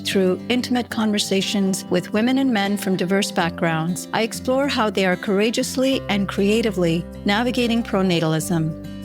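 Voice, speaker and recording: A woman hosts an interview podcast, reading a scripted intro and then asking encouraging questions. Her voice sits at an average pitch, and clear guitar music plays underneath. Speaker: podcast host.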